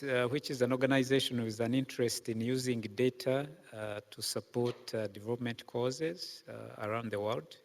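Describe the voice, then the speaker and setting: Slow, halting man in a reverberant hall. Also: medium pitch. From Uganda. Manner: slow and halting, many filler pauses. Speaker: panel moderator. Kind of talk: conference panel introduction. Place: large conference hall.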